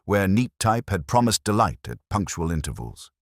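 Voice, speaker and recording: A man reading a scripted mystery story in a measured, wry, literary manner. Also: low voice, very animated, no room sound, clean studio sound. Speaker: narrator. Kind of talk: scripted mystery audiobook narration.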